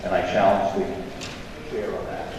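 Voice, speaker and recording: A man, a state representative, making a halting formal motion on the floor. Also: sits low, animated, darkish tone. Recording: echoey chamber, distant mic, faint noise.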